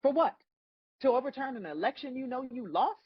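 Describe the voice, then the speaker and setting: Woman acting as god, solemn and scolding, lowish husky voice, dry lo-fi sound, dramatic table read. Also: expressive, no room sound. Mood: accusing. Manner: measured, solemn, scolding delivery. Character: God as a stern, judging woman, sardonic and composed.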